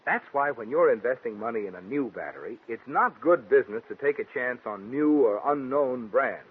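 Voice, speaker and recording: Husky, animated vintage radio announcer. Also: male voice, mid-range voice, moderate pace. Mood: confident, persuasive. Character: confident, folksy male radio sponsor announcer. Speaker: radio announcer and sponsor pitchman. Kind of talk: 1940s radio advertising announcing. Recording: phone-like old 1940s radio recording.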